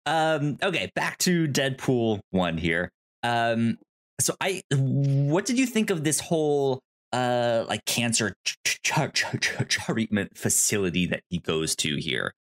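A man's voice: mid-range voice, sing-song, fairly bright tone. A man hosting a podcast in a casual discussion, speaking hesitantly and often stammering over his words. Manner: hesitant, stammering, halting delivery. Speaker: podcast host.

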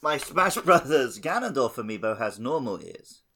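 A man's voice: medium pitch, big pitch swings, normal speed, pushed and bright. A man co-hosting a podcast, chatting casually with a wry, conversational manner. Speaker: podcast co-host.

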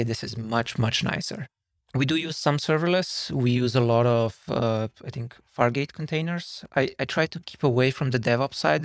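A man from Slovenia, a software engineer guesting on a podcast, who talks casually and wanders off on tangents. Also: medium pitch, dry recording, clean studio sound. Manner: rambling, self-deprecating, digressive.

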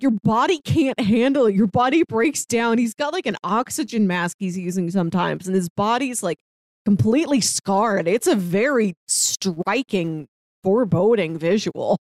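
A woman's voice: fairly high pitch, expressive, normal speed, a little mellow, no echo. A woman co-hosting a podcast, talking casually through a recap of a TV series. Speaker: podcast co-host.

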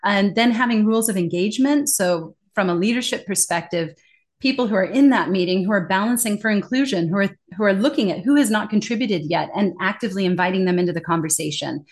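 A woman talking in a conversational interview as a communication trainer, animated and emphatic, laughing often. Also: medium pitch, fast.

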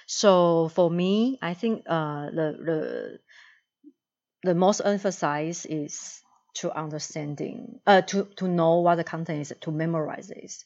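A woman with a Taiwanese accent talks about learning in a low, even voice. She picks her English words with care, pausing to find the right phrase. The recording sounds dull and lo-fi. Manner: searches for words, careful phrasing. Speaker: education student in discussion. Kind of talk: casual discussion.

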